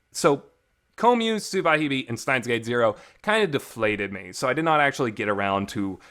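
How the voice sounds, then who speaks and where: Man, bright forceful voice, casual opinionated reviewer, blunt, rambling, repeats himself for emphasis, swears, mid-pitched. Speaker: reviewer, vlog host. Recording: reverberant home recording.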